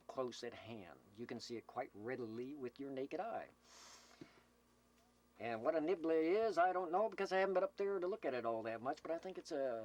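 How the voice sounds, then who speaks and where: A man hosting a community CB radio roll call, giving folksy, improvised announcements in a joking, teasing, rambling way. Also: mid-range voice. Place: Slab City, California desert camp. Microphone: CB radio microphone, filmed while speaking.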